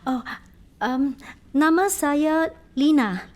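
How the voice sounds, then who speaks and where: Shy female student, played by a woman: high, slow delivery, polite, amateur radio drama. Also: animated, a little mellow. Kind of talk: amateur radio play acting. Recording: classroom crowd noise behind.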